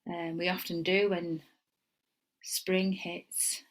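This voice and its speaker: A woman's voice: fairly low pitch, little pitch movement, slow pace. A middle-aged woman with a Yorkshire accent, giving a casual tarot reading at home and wandering into chatty asides. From England. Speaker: tarot reader.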